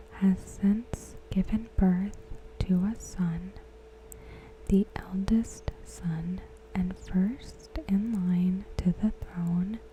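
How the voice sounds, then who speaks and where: A woman reads aloud softly in an ASMR style, in a hushed, breathy whisper with short phrases and long pauses. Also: lowish voice, a little hiss or hum, poor audio. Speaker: ASMR host.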